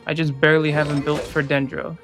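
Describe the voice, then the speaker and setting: A woman streamer with a low voice, talking casually through a game playthrough. She muses aloud in short, offhand reactions while music plays faintly underneath. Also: flat delivery, brisk. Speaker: gaming streamer.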